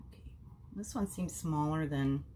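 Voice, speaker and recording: A woman's voice: low voice, moderate pace, mellow, slight background noise. A woman in her forties with a nasal, stuffy voice from a cold, chatting to her viewers about a face mask at home. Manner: chatty, talks to viewers as family, frequent ums. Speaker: beauty vlogger. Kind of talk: casual skincare review vlog. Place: small bedroom at home, indoors. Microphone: camera in front at desk distance.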